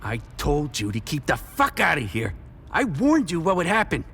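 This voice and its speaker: A man's voice: on the high side, wildly swooping pitch, normal speed, gravelly, a bit bright, slight background noise, heavily acted. An actor playing a bitter, menacing drug dealer who narrates his crime drama with cynical, profane threat. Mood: angry, threatening. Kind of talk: noir first-person scripted narration and dialogue.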